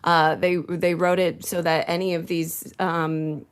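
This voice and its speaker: Woman, lowish voice, voice actor, casual interview chat, conversational storytelling. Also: little pitch movement, unhurried, clear, resonant tone.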